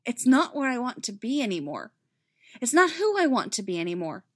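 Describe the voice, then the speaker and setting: Woman voicing an insistent, high-pitched young female Jedi apprentice. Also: big pitch swings, brisk. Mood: strained, resolute. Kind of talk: dramatic audiobook character dialogue.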